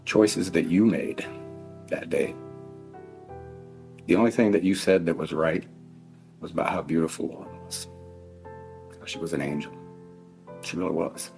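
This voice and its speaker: A middle-aged man giving a solemn victim impact statement in halting, emotional phrases, grieving and addressing someone directly. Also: deep voice, fairly even intonation, gravelly, a little mellow. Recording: faint music laid under the voice. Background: faint plucked guitar music.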